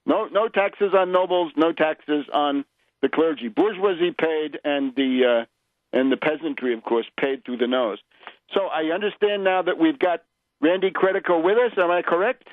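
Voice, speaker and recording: A man's voice: on the high side, normal speed, no room sound. A man hosting a conversational political radio interview, explaining things in a professorial way with rhetorical asides. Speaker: radio show host. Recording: muffled lo-fi radio audio.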